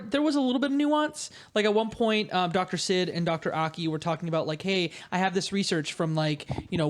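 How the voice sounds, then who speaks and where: Casual, playful podcast host. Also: medium pitch. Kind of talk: casual panel chat about film and games.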